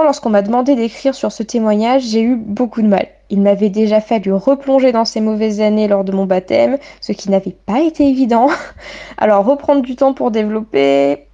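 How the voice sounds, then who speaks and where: A woman's voice: on the high side, warm tone, highs cut off. A woman in her late twenties giving articulate, literary, self-deprecating personal testimony as a guest in a radio interview.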